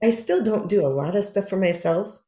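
A woman's voice: mid-range voice, lively intonation, a little fast, warm tone, reverberant. A woman in her fifties chatting casually, rambling and self-deprecating, acting out her own words when she quotes herself. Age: 50s. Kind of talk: casual chat.

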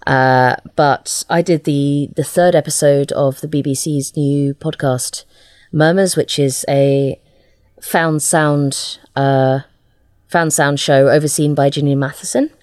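A woman with a low voice speaks casually as a guest in an interview. She feels her way through sentences haltingly, and the sound is close and dry. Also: fairly even intonation, a little mellow, no room sound. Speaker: interview guest, audio drama writer.

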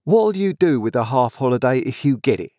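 A man voicing a sullen, insolent journeyman in his twenties, growling gruffly in rustic working-class speech for a storybook. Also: mid-range voice, sing-song, a little fast, dark tone, no room sound, clean studio sound, voice pushed up, lightly acted. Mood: aggrieved. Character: surly sullen journeyman blacksmith, about twenty-five, resentful and insolent.

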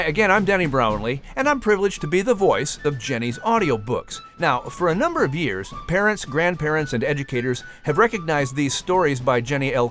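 A man's voice: on the high side, a bit bright. A man who narrates audiobooks, doing scripted announcing as a podcast host.

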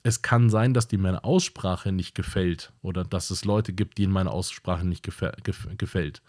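Blunt, low-voiced male podcast host. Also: fairly even intonation, moderate pace, darkish tone. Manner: blunt and direct, repeats himself for emphasis. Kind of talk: casual podcast monologue.

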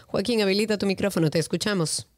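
A woman's voice: medium pitch, quick pace, no echo. Dominican woman hosting live radio, dry sound. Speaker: radio call-in show host.

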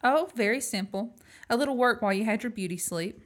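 A woman's voice: fairly high pitch, a little fast, lightly acted. A woman acting a plucky, frank young woman in an amateur radio play. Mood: calm, matter-of-fact. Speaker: student actor.

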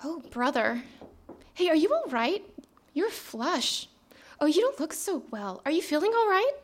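Casting: a girlish, put-upon secretary with a high voice, chatty and exclamatory, live radio play. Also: very animated, a little slow. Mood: concerned. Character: perky, put-upon young female secretary, engaged, girlish. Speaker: actor. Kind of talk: live radio drama acting.

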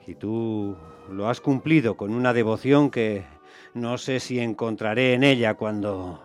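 A man acts an earnest gentleman in radio theatre. The voice sits at an average male pitch, with deliberate, hesitant phrasing and soft music in the background. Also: clear, resonant tone, lightly acted. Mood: earnest, resolved. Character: gentleman master, earnest, deliberate, honourable convalescent suitor. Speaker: radio drama actor.

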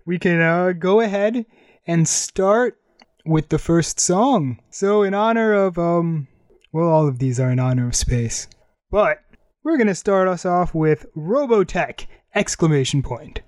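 Male radio host, higher-pitched voice, dry close sound, casual chat introducing songs. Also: lively intonation, measured pace, no room sound. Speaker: host of an anime radio show.

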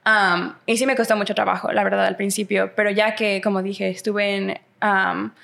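A woman's voice: medium pitch, level tone, creaky, fairly bright tone. A young woman from Mexico with a Mexican Spanish accent, an audiology student talking reflectively in a casual interview. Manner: reflective, many filler pauses.

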